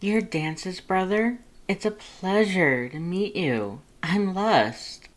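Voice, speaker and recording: A friendly male skeleton lover, voiced by a story reader, with a raised pitch. He sounds flirtatious and amiable, a character from an audiobook romance. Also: animated, takes it slowly. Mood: friendly curiosity.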